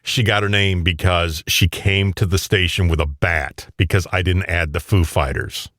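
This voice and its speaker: A man's voice: deep voice, fairly even intonation, normal speed, a bit bright, no echo, clean studio sound. A man hosting a radio show, telling wry stories and laughing often. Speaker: radio program director and host.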